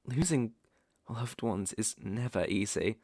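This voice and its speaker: A man's voice: on the low side, expressive, darkish tone, dry recording, clean studio sound, heavily acted. Man acting as courtly, sinister British werewolf. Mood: courtly, sympathetic. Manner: courtly, formal, measured, insinuating. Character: smooth-talking sinister male werewolf posing as protective gentleman. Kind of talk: ASMR roleplay audio acting.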